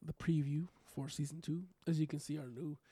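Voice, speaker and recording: A man co-hosting a podcast with casual, jokey banter, his voice slightly raspy from being under the weather. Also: fairly high pitch, flat delivery, fairly quick, mellow, no echo. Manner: playful, jokey. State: recovering from illness, slightly raspy. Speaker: podcast co-host.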